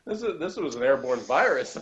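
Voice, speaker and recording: A man's voice: medium pitch, big pitch swings, normal speed, fairly bright tone, slightly rough. Guy co-hosting a review, keeps laughing and restarting, kinda muffled lo-fi audio. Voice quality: laughs often, rambling restarts. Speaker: co-host reviewing a TV episode. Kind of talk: casual chat. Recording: dull lo-fi sound.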